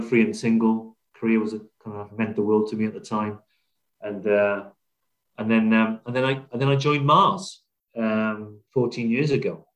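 Middle-aged British male business leader as interview guest, lower register, reflective and halting conversational storytelling. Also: poor audio. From Wales, UK. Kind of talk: conversational interview, telling own career story.

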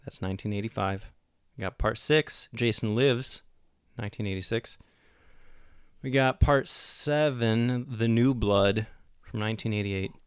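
This is a man with a fairly low, clean, resonant voice, recorded close and dry. He talks in a laid-back, joking way, as a guest swapping opinions about films with friends on a podcast. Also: measured pace, smooth, dry recording. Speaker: guest on a movie discussion podcast. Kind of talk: casual chat.

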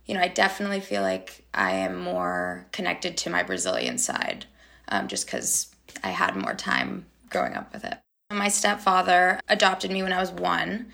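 A young woman, an actor being interviewed, talking casually and reflectively with many fillers and soft laughs. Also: fairly low pitch, gravelly. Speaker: actor guest.

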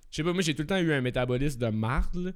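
A man's voice: a little high, quick pace. Laid-back Québécois man in his late 20s. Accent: Québécois French. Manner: relaxed, swears freely, laughs often. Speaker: podcast co-host. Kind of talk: casual chat.

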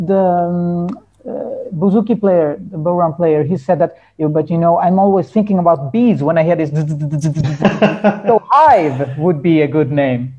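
A man's voice: fairly high pitch, unhurried, a little mellow. A man speaking casually as a musician guest on a podcast. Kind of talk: casual interview talk. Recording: dull, muffled lo-fi audio.

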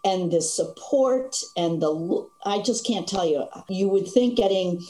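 A woman's voice: mid-range voice, a little slow, reverberant, low-quality recording. An older woman, a stage actress, telling stories in an interview with laughs along the way. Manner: animated storytelling with frequent laughs. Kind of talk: conversational interview.

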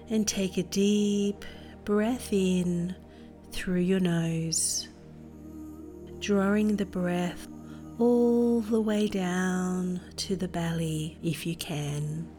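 A woman narrating a guided meditation in a gentle, soothing manner with deliberate phrasing. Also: fairly low pitch, faint music behind. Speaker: meditation guide and podcast host.

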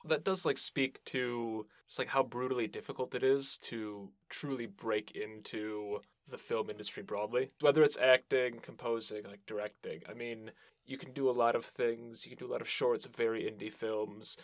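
A man's voice: medium pitch, fairly even intonation, moderate pace, dry recording. Casual male podcast host, dry sound.

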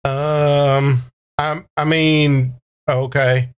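A man's voice: on the high side, little pitch movement, drawn-out words, clear, resonant tone. A man playing a nervous, eager-to-please fellow who stammers and hesitates as he dreams of being a hero in a tabletop roleplay. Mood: hesitant, resolved.

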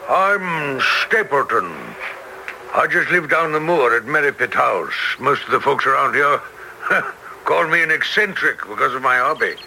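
An actor playing a friendly, eccentric naturalist in a radio drama, affable and chatty. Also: male voice, medium pitch, lively intonation, a little slow, creaky, pushed and bright, exaggerated voice. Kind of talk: classic radio drama acting. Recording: noisy vintage radio recording.

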